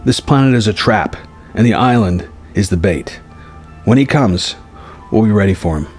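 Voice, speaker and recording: A man voicing a weary, resolute Jedi master in a story reading, explaining things in a measured, cryptic way. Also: lowish voice, expressive, darkish tone, lightly acted. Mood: calm, foreboding. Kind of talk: acted dialogue within story narration. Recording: music behind the voice.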